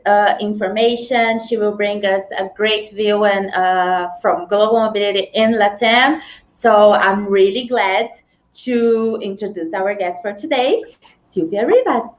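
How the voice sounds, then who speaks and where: A woman co-host, upbeat and laughing often while introducing a guest. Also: medium pitch. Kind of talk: live show hosting. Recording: echoey, dull video call.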